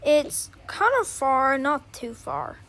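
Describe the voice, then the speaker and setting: Worried parent, acted by a woman: very high voice, urgent directing manner, toy-app family drama, faint noise. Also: lively intonation, slow pace, a bit bright, heavily acted. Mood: urgent worry.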